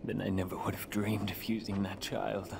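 A mysterious, brooding young man, solemn and measured in a video game. His voice is low and breathy, with clear ambience behind it. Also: level tone, noisy background. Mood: grave. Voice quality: breathy, hushed.

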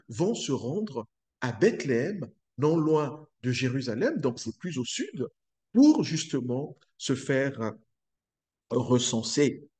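A middle-aged man from Congo preaching a sermon to a webcam in a small room, slow and halting with many hesitations. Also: fairly high pitch, darkish tone. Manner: sways and gestures while preaching. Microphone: webcam at close range.

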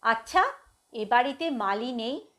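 A woman's voice: fairly high pitch, animated, measured pace, sharp tone. A woman voicing a confident, curious young man in a story, with a familiar, questioning manner. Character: brisk, curious young man visiting his uncle's house. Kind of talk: dramatised story reading.

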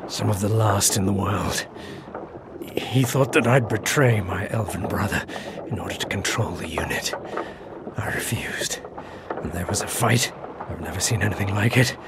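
An actor playing a proud, wounded elf rebel in a fantasy video game, bitter, gravelly and weak with pain. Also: male voice, fairly low pitch, lightly acted. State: weak, in pain, breathy gasps. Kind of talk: dramatic video game acting. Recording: loud ambient noise behind voice.

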